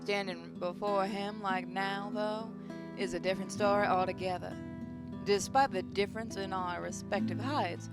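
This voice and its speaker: A woman reading a visual novel aloud as expressive first-person story narration. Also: medium pitch, moderate pace. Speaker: gaming channel host reading a visual novel. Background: guitar music.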